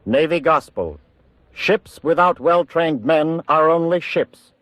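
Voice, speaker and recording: A man's voice: fairly high pitch, lively intonation, takes it slowly, a bit bright. A man with an American accent reading scripted 1940s wartime radio narration in a solemn, emphatic manner.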